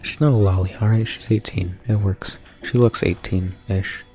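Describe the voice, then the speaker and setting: A young man streaming a video game, talking to his chat in a soft whisper with mouth noises, his throat sore from whispering. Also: on the low side, fairly even intonation, moderate pace, soft background music. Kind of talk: casual gaming commentary, trying ASMR-style whispering.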